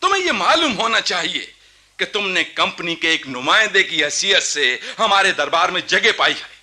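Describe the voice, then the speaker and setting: A proud young Nawab in a historical radio play. His voice is high, forceful and very bright, swinging widely as he accuses and commands in formal courtly diction. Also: very animated, moderate pace, exaggerated voice. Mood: stern anger. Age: young adult. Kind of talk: theatrical Hindustani radio drama acting.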